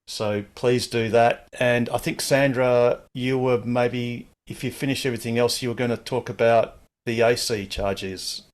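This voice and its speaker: Male host, mid-pitch voice, laid-back, moves the chat along. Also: a little slow, few pauses. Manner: relaxed, hands over to guests. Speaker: club show host. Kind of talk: casual online Q&A.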